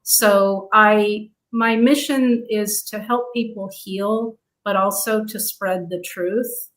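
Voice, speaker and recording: Woman interview guest, deliberate and slow, hesitant with ums. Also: mid-range voice, steady tone, a bit bright, rough recording. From grew up abroad in several countries. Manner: halting, many fillers. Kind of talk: interview answers.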